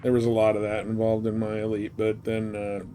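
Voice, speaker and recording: A man's voice: on the low side, completely flat delivery, brisk, dark tone. A man chatting casually and informally as he streams a game. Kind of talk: casual chat while playing a space game live stream.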